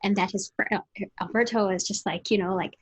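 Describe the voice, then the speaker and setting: A woman's voice: medium pitch, steady tone, brisk, noisy background. A woman co-hosting a casual book discussion over a video call, often rephrasing as she goes. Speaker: co-host. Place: indoor room at home, video call.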